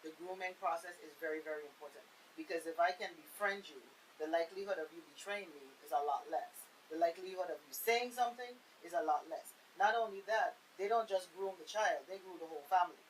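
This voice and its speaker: A woman's voice: lowish voice, quick pace, hardly any pauses, rough recording. A woman on a talk show panel explaining emphatically, repeating key phrases, with faint hiss.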